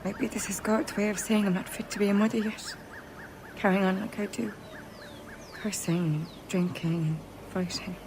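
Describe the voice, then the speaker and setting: An actress playing a rough-living young pirate woman, mournful and confessional, in a video game cutscene. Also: medium pitch, steady tone, normal speed, background noise, lightly acted. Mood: grieving.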